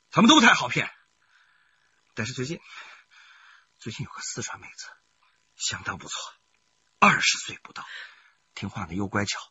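High-pitched, volatile scheming husband. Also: male voice, breathy edge, dull audio, heavily acted. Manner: excitable, repeats words, swings from coaxing to threats. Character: scheming, money-hungry husband, wheedling and bullying. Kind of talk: radio crime drama acting.

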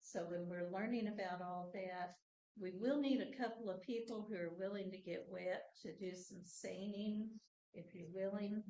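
A woman's voice: mid-range voice, steady tone, normal speed, dark tone. A woman giving chatty, casual announcements to a class before a talk. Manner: chatty, laughs easily, loose phrasing. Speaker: training coordinator introducing the speaker. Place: classroom, indoors. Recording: echoey classroom.

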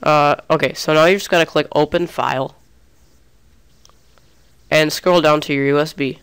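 A man's voice: a little high, steady tone, moderate pace, a bit bright, clear, resonant tone. A young man talking through a gaming tutorial in a casual, chatty way, a little under the weather. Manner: casual, chatty, restarts often. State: sick, slightly off voice. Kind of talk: screen-recorded tutorial.

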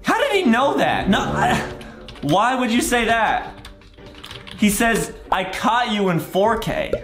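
Animated young man, roomy sound. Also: sits high, takes it slowly. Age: 20s. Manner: exaggerated, theatrical reactions. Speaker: gaming video host. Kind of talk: playful video game commentary for children. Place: small indoor room. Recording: roomy sound with background music. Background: music.

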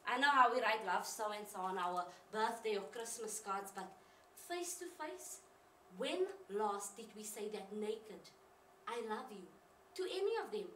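A woman acts out a hot-tempered, rueful sister in a confessional stage monologue. Her voice is bright and swoops widely as she questions herself in everyday, emphatic words. It is heard from a distance in a roomy space. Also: mid-range voice, expressive, unhurried, pitched below the performer's own voice. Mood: tender, rueful. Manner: colloquial, emphatic, self-questioning. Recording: roomy, distant mic, faint noise.

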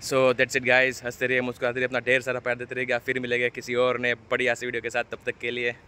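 A man's voice: medium pitch, completely flat delivery, quick pace, sharp tone, clear, resonant tone. A man in his thirties chatting casually as he shows a flight and an airport, filming with a phone at arm's length. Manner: chatty, informal, guiding the viewer. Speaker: travel vlogger. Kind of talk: casual travel vlog narration. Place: inside a small plane, then outdoors at an airport. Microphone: phone camera at arm's length. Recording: noisy with engine and outdoor noise.

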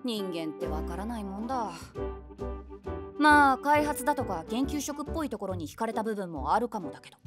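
A woman playing a nosy, wry middle-aged eavesdropper, narrating her inner thoughts with deadpan, teasing asides. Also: medium pitch, lightly acted. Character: nosy, wry 46-year-old eavesdropping woman with wild imagination. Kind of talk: scripted comedic inner-monologue narration. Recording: music behind the voice.

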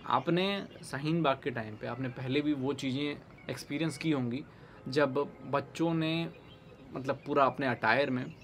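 A young man hosting an opinion commentary to camera, speaking in a pointed, emphatic way with halting phrasing. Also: medium pitch, fairly bright tone. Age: young adult. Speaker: online commentary host. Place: outdoors, overlooking a city. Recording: background noise with outdoor ambience and birds.

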